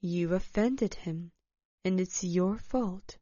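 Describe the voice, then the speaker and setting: A woman storyteller voicing a calm, good-humored man who teases with gentle irony. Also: fairly low pitch, slow pace, no room sound, lo-fi sound. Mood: calm, chiding. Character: calm, teasing, gently ironic man among hikers.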